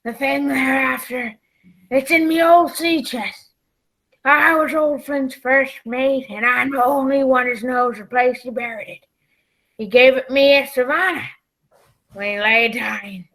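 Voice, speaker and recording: A woman playing a gruff, frightened old male pirate captain with gravelly, rustic sailor speech in a radio play. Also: high voice, unhurried, fairly bright tone, full character voice, poor audio. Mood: urgent, conspiratorial. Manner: rustic sailor dialect, dramatic.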